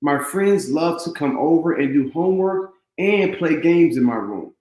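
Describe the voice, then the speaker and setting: A man voicing an excitable, affectionate eleven-year-old boy in an animated, earnest read-aloud of a children's storybook. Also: fairly high pitch, normal speed, voice pushed up, close to an everyday voice. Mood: excited. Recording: roomy echo.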